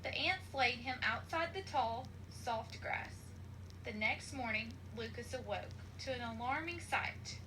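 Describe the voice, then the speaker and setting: A woman with a fairly high voice reads a children's storybook aloud. Her delivery is expressive, scripted narration. Clear noise sits behind the voice. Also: measured pace, rough recording. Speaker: storybook reader.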